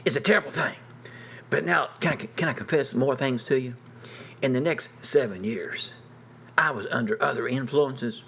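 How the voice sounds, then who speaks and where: An older man telling a personal story in a folksy, animated way, laughing often and repeating "you know what I'm saying.". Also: medium pitch, creaky. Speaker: storyteller, preacher. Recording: music bed underneath.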